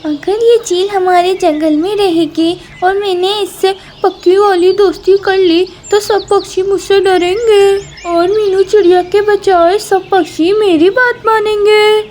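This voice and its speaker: A performer voicing a sly, jealous male crow who talks slowly and persuasively in a children's cartoon story. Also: very high voice, steady tone, a little mellow, slight background noise. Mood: scheming.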